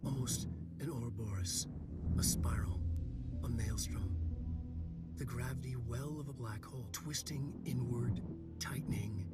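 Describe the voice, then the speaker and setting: Behind loud music, a distant male voice of an actor plays a brooding writer in a horror game. He delivers cryptic prose in terse fragments, often hushed, with intense, ominous gravity. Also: medium pitch, unhurried, long pauses, lightly acted. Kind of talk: dramatic literary monologue.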